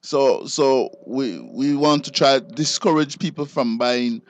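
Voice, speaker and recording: A man speaks as a studio guest. His medium-pitched voice moves haltingly, with words repeated as he makes his point. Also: a little hiss or hum. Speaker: studio guest and quiz judge.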